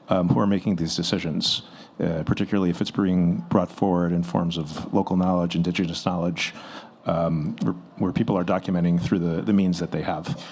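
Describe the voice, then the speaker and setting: A man from a church delegation asking a measured, thoughtful question at a conference. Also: sits low. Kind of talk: audience question. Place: conference pavilion.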